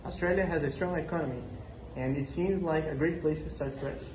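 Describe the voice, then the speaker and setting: A young man with a slightly high voice reads prepared interview answers at a steady pace. The room is echoey, and clear background noise runs underneath. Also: a little fast. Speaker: role-play interviewee. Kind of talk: scripted school role-play.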